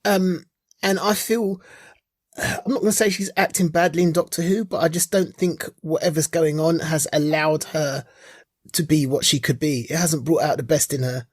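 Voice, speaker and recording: A man with a husky, slightly rough voice co-hosts a podcast. He gives his opinions in casual chat, repeating phrases for emphasis. The sound is close and dry. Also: a little high, fairly even intonation, moderate pace, no room sound. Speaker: podcast co-host. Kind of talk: casual chat and informal reviews.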